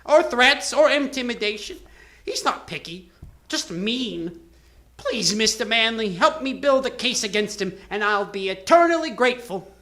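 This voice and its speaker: An aggrieved but determined carnival performer played by a woman in a radio mystery, pleading her case emphatically. Her voice is bright and fairly high, with very lively swings in pitch. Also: normal speed. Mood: earnest pleading. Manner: emphatic, imploring. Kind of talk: live 1940s-style radio drama acting.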